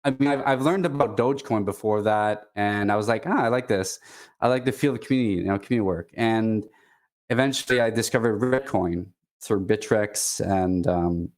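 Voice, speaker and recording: A man from Quebec with a Quebecois French accent, a native French speaker chatting casually as a guest, stopping and restarting often. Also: on the low side. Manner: halting, many restarts and fillers. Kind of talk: casual chat.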